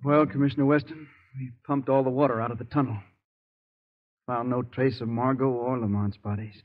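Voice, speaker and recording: An actor playing a sober official, speaking formally and solemnly in 1930s radio drama style. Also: male voice, mid-range voice, steady tone, a little fast, close to an everyday voice. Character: sober male official reporting grim news. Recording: muffled old radio recording.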